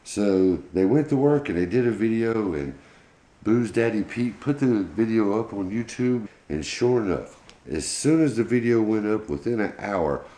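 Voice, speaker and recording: A man with a low, very mellow voice tells a homespun bedtime story. His phrasing is folksy and off the cuff, and the room adds a noticeable echo around him. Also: lively intonation, normal speed. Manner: folksy, colloquial grammar. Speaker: pet channel host telling a bedtime story. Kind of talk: informal improvised children's storytelling.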